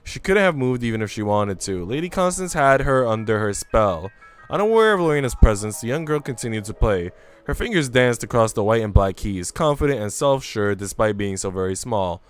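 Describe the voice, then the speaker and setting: A man with a smooth, clear voice, livestreaming as he reads a visual novel aloud and chats casually. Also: lowish voice, expressive, a little fast, faint music behind. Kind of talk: livestream narration and casual chat.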